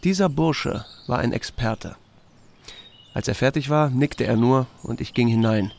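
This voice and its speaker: Laconic small-town sheriff. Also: male voice, medium pitch, a little slow, vocal fry, darkish tone. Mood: dry narrating. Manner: dry, understated. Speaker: narrator and lead character. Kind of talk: radio drama dialogue and narration.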